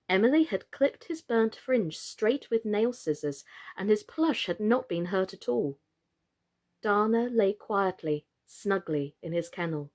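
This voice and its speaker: Expressive woman reading for children. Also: mid-range voice. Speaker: children's story reader and online storytime presenter. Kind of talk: storybook narration and friendly presenting to children.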